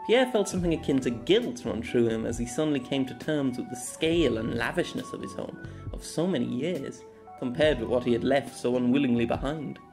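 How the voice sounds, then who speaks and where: A man reads his own fantasy novella aloud in an expressive storytelling manner, his pitch at an ordinary middle level. A faint music bed runs under his voice through this amateur audiobook recording. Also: brisk. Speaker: narrator.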